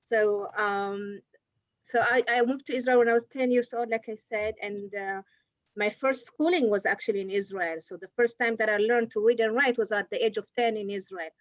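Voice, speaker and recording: A woman from Ethiopia, not a native English speaker, talking as a diplomat on a video call, halting with many fillers and restarts. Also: medium pitch, fairly even intonation, no echo, dull audio.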